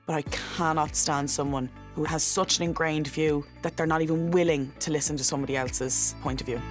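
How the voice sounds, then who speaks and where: Earnest Irish woman in her twenties. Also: low-pitched. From Ireland. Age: 20s. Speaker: strongwoman competitor and reality show guest. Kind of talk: earnest confessional interview.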